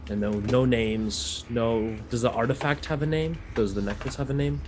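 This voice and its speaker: A man at a tabletop game, casually asking the game master questions. His voice is mid-pitched and ordinary. A faint hiss sits behind. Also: moderate pace. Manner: curious, asks short questions. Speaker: player.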